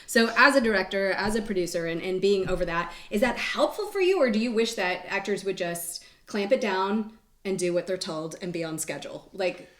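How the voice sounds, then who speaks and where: A woman hosting a casual group conversation, talking in an expressive, affirming way. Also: mid-range voice, a little fast. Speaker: interview host, actor. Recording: echoey room.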